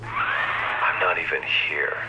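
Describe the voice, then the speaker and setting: An actor playing a weary, blunt apparition of a hardened detective in a video game, speaking matter-of-factly. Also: male voice, low voice, huge pitch swings, slow, heavy background noise, exaggerated voice. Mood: resigned. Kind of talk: understated game drama dialogue.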